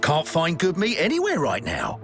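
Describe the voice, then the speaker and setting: An actor playing a chatty, fretful small-shop food vendor in a video game, with an animated, expressive delivery. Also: male voice, on the high side, normal speed. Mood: exasperated. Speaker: shopkeeper character. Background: music behind the voice.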